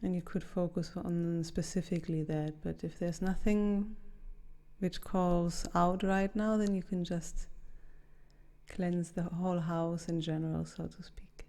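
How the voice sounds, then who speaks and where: A woman leading a guided meditation in a gentle, soothing, unhurried manner with long pauses. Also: fairly low pitch, level tone.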